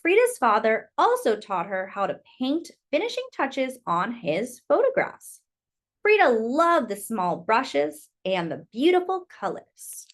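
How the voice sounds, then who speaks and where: High, very lively woman reading to children. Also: unhurried. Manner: expressive, addresses young listeners as friends. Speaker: storyteller reading a picture book to children. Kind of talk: children's read-aloud with short asides.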